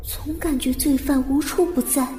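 Actress as a haunted young woman, high and echoing. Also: fairly even intonation, normal speed, mellow, close to an everyday voice. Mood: brooding, uneasy. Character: haunted, anxious young woman recalling childhood trauma. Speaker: voice actor and narrator. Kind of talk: first-person radio drama narration and dialogue. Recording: reverberant echo with music underneath. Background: music.

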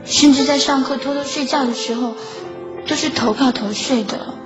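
A soft, wistful teenage schoolgirl telling her story in an audio drama, voiced by a woman. Her voice sits a bit high. The sound is dull and lo-fi, with clear music underneath. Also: steady tone, normal speed, close to an everyday voice. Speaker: lead and narrator. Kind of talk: audio drama acting and narration.

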